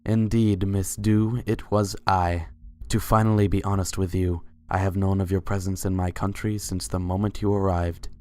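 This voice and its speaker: A man voicing a suave, courtly pony Sultan who speaks formally and flatters without hurry in a fan fiction reading. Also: sits low, normal speed, natural voice. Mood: courtly, flirtatious. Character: suave, courtly winged unicorn Sultan, adult male pony.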